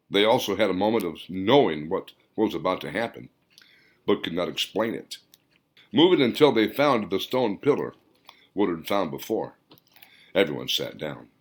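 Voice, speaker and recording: Male audiobook narrator, fiction. Fairly deep voice, very lively pitch movement, theatrical reading. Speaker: author reading own audiobook.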